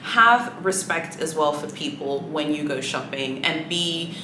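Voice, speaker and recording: Swahili-native woman vlogger, low voice, chatty and sassy, echoey room with audible noise. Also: expressive, measured pace. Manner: chatty, many fillers, sassy asides. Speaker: luxury shopping vlogger. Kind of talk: casual chat to camera.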